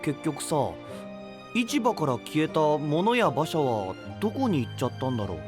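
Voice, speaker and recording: Gentle, expressive young knight. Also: male voice, a little high, normal speed, music in the background. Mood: thoughtful. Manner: hesitant, mild. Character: gentle, soft-mannered young male knight, thoughtful. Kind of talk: anime audio drama acting.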